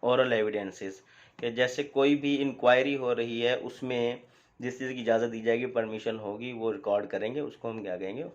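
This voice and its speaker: A man's voice: medium pitch, steady tone, moderate pace. Pakistani man lecturing, dull lo-fi recording. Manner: didactic, asks and answers own questions. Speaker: law lecturer. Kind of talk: explanatory lecture, mixing Urdu with English legal terms. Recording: dull, lo-fi sound.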